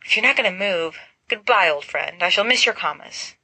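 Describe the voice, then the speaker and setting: A woman's voice: medium pitch, expressive, moderate pace, sharp tone, husky, no echo, exaggerated voice, rough recording. A woman voicing a melodramatic, mock-tragic teenage wizard boy in an audiobook. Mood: mock misery.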